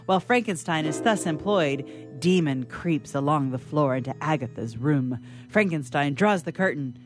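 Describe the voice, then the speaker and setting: A woman's voice: sits low, lively intonation, normal speed, music in the background. A woman narrator reading scripted stage directions for a radio drama.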